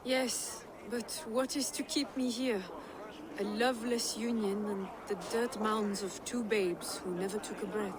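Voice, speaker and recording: A woman's voice: medium pitch, steady tone, unhurried, crowd noise, lightly acted. An actress playing a worldly, weary Frankish noblewoman in a video game, speaking in a poised, poetic, reflective way. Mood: wistful. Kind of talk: video game cutscene acting. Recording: clear ambient noise behind voice.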